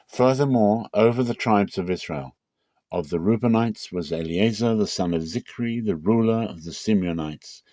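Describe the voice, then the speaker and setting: A man with a low voice gives a dramatic reading of scripture. He is measured and unhurried, stretching key phrases. The recording is close and dry, with no room sound. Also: warm tone, dry recording. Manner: measured, slows for emphasis. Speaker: Bible reader.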